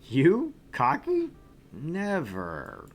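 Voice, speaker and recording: A man's voice: on the high side, huge pitch swings, drawn-out words, voice pushed up, full character voice. A man voicing a wry young arcade worker in a visual novel, reading the lines with dry teasing. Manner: dry teasing, read aloud.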